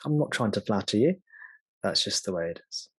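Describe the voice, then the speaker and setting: A man guiding a meditation as a spiritual teacher, speaking gently and unhurriedly with long pauses. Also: lowish voice, lively intonation, husky, dry recording, low-quality recording. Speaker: spiritual teacher leading satsang. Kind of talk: slow guided meditation.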